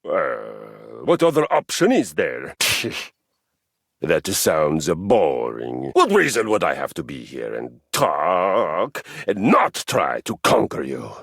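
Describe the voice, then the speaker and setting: A gravelly bellowing Viking brute. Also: male voice, a bass voice, wildly swooping pitch, slow, a bit bright, no echo, heavily acted. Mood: bellowing warlike. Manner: grandiose, formal speech. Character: boastful Viking weight rack, brutal. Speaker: dating game character. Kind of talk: video game voice acting. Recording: dry studio recording.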